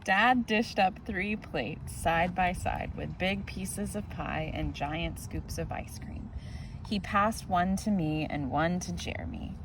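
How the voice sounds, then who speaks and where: A woman reading a children's picture book aloud in a gentle, expressive way. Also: fairly low pitch, slow, background noise. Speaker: storybook reader.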